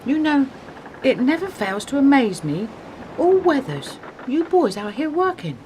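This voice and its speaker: An actress plays a well-bred English lady campaigner in a radio drama. Her high, animated voice is gracious and encouraging, with polished upper-class vowels. There is faint noise behind her. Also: unhurried, rough recording. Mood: bright, friendly. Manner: polite, refined, encouraging. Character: well-spoken posh woman campaigner, gracious and spirited. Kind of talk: radio play acting.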